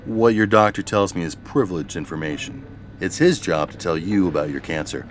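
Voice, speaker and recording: A man's voice: sits low, fairly quick, a little hiss or hum, natural voice. A man playing an officious, coldly polite corporate boss in an audio comedy, speaking formally and with breezy callousness. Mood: breezy, callous. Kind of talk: audio drama comedy dialogue.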